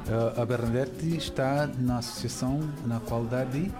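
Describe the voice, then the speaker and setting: An interviewer and reporter asks short questions and reads scripted narration. The pitch is medium, and music plays clearly behind the voice. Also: fairly even intonation, normal speed.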